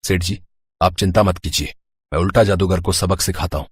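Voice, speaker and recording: A man's voice: deep voice, fairly even intonation, brisk, husky, dry recording, clean studio sound. A man voicing an earnest male schoolteacher, the hero of a children's cartoon story. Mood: confident, challenging. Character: puzzled, confident young-ish male schoolteacher, cartoon hero.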